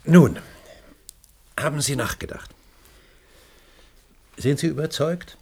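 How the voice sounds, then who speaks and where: An actor in a German radio play, playing a driven, imperious middle-aged scholar who suspects conspiracies and speaks in emphatic, deliberate phrases. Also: male voice, fairly high pitch, darkish tone, slightly rough, full character voice. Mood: insistent. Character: driven, conspiracy-minded older male history scholar, insistent and imperious.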